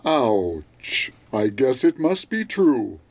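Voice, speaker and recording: A man's voice: mid-range voice, big pitch swings, very slow, full character voice. A man playing a laid-back, teasing late-night seventies music DJ with a jokey, self-satisfied manner. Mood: breezy, welcoming.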